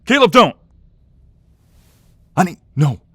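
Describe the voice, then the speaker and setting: A man playing a protective, defiant mobster devoted to his lover, acted with earnest emotion and dramatic pauses in an audio roleplay. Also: high-pitched, very animated, a bit bright, husky, no room sound, clean studio sound, heavily acted. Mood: furious, desperate. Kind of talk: audio roleplay romance acting.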